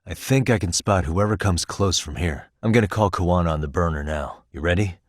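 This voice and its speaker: Video game private eye, thirties, deep register, level-headed, businesslike and determined, dry studio sound. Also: male voice, a little fast, no room sound. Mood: focused, planning. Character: shrewd, level-headed male private detective in his thirties. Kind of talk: English video game voice acting.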